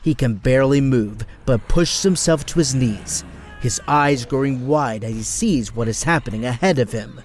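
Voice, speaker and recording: A man's voice: medium pitch, moderate pace, slight background noise. Man narrating an action audio drama.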